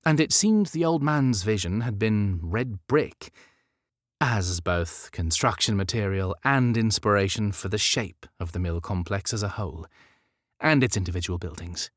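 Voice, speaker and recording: Male audiobook narrator, low register, measured and expressive storytelling, close dry studio sound. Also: no echo. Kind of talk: scripted narration of historical romance fiction.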